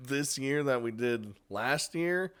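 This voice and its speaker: A man's voice: mid-range voice, expressive, takes it slowly, fairly bright tone, clear, resonant tone. A man hosting a casual, unscripted countdown of games, chatty and crude, swearing freely. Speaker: video host and game reviewer.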